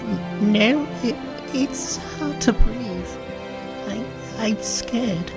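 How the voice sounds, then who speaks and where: A man's voice: sits high, animated, extremely slow, creaky, over loud music, full character voice. A man voicing a small, trusting skeleton child in an emotional comic dub, stammering, hesitant and pleading. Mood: scared, hurting.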